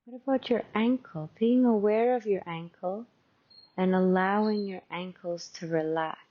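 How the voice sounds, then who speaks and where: A woman teacher leading a guided meditation in a gentle, unhurried, conversational and softly instructive way. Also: medium pitch, lo-fi sound.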